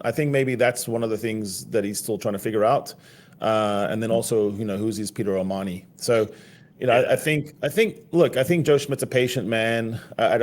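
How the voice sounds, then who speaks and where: A man's voice: on the low side, darkish tone. A man from South Africa co-hosting a rugby podcast in casual chat, teasing and laughing often. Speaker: podcast co-host.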